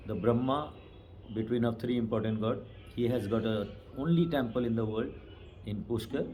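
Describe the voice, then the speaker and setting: A man's voice: mid-range voice, moderate pace, dark tone, slight background noise. An Indian man, a tour driver, plainly recounting a trip route in an interview. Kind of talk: casual interview.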